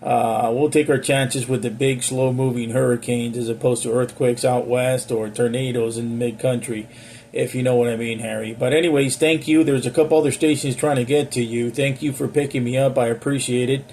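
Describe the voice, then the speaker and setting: A man chatting casually over amateur radio as an operator, courteous and measured in his radio procedure. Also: medium pitch, fairly even intonation, darkish tone. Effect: amateur radio transmission. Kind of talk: casual two-way radio chat. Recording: radio audio with faint hiss.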